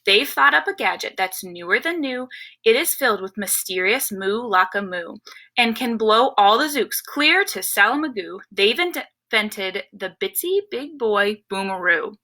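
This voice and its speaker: A woman voicing a boastful, upbeat old male war chief from a storybook, with an excited, commanding, salesmanlike manner. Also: medium pitch, normal speed. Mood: excited, reassuring.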